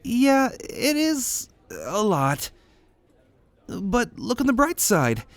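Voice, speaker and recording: A man's voice: extremely high pitch, sing-song, drawn-out words, no room sound, pitched above the performer's own voice, full character voice. A man voicing an earnest, optimistic young demigod student with a hesitant, reassuring manner in an ASMR roleplay audio drama.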